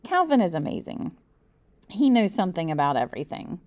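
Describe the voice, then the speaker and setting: Female storyteller for children's book narration, very animated read, dry close sound. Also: lowish voice, fairly quick, no room sound. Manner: animated, acts out the dialogue. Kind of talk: expressive audiobook-style narration in the first person.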